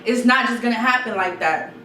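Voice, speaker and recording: A woman's voice: a little high, brisk. Chatty Guyanese woman vlogger, roomy sound. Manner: animated, chatty, repeats herself, reads quotes aloud. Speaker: YouTube vlogger. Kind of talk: casual sit-down advice chat. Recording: roomy echo, slightly distant mic, faint noise.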